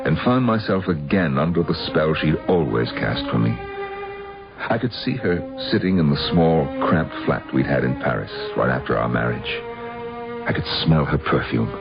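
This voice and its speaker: A man's voice: rumbling low, gravelly, heavily acted. An actor playing a proud, middle-aged widower haunted by his memories in a radio drama. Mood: wistful, haunted. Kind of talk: radio drama acting, with first-person narration. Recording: muffled old radio drama, music behind.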